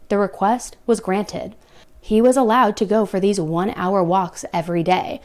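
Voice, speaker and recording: A young woman narrates a true crime case in a casual, conversational way. She often stops to speak straight to her viewers with blunt, exasperated comments. Her voice sits at an ordinary pitch for a woman. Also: darkish tone.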